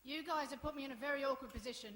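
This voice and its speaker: A woman acting as an earnest youth centre character in a radio play, with a high, bright voice. She reasons firmly and reproachfully, her pitch moving a lot. The hall echoes around her. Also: expressive, moderate pace, a little hiss or hum. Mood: stern, disappointed. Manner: earnest, remonstrating. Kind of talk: live radio play performance. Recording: echoey hall, live performance.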